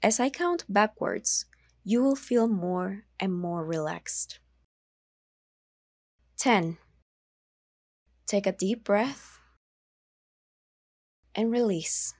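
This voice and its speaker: A woman narrating a guided meditation in a soothing, measured manner, leaving long pauses between instructions. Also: medium pitch, animated, a little mellow, no echo, clean studio sound.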